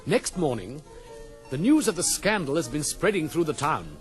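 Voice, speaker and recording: A man's voice: on the high side, big pitch swings, moderate pace, soft background music. A man serves as narrator of a play. He reads scripted linking narration between scenes.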